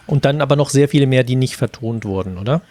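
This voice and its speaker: A man's voice: medium pitch, fast, a little mellow. A man casually moderating a podcast conversation as its host.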